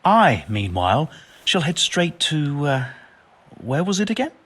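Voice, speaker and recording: An actor playing a learned, pompous but well-meaning and absent-minded monk physician in a video game, speaking in wordy, formal phrases. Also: male voice, on the high side, sing-song, a little slow, a bit bright, breathy edge, full character voice. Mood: bemused, earnest. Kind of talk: video game cutscene acting.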